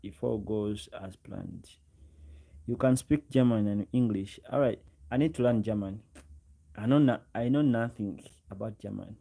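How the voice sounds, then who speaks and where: A man in his twenties with a Ugandan accent and a low, creaky voice talks to his livestream viewers. He chats casually, addressing people directly as he answers their comments, and often says a phrase over again. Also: moderate pace, dark tone. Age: 20s. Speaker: live streamer.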